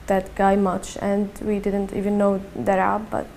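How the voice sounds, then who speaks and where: Soft, gravelly young woman. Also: medium pitch, completely flat delivery, a little hiss or hum. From Syria. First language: Arabic. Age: early 20s. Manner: halting, soft-spoken, many restarts and fillers. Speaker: activist telling her story. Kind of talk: personal testimony to camera.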